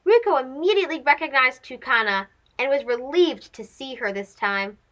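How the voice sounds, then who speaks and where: A woman narrating a children's story as a read-aloud host, expressive, dramatic and clearly phrased. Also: sits high, normal speed.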